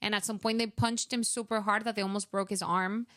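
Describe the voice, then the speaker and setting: Young woman, smooth clear voice, dry close sound, chatty with lots of fillers. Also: medium pitch, steady tone, brisk, fairly bright tone, no echo. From Venezuela. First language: Spanish. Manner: chatty, many fillers, acts out her own past thoughts. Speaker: guest. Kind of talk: casual interview storytelling.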